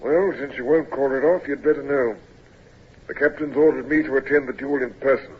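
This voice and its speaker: Actor as stern naval officer, muffled old radio. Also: male voice, mid-range voice, fairly even intonation, a little fast, natural voice. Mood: grave, urging. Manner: formal, commanding. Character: stern, anxious older naval officer overseeing a duel. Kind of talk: old radio drama acting. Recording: muffled, husky-sounding old radio recording.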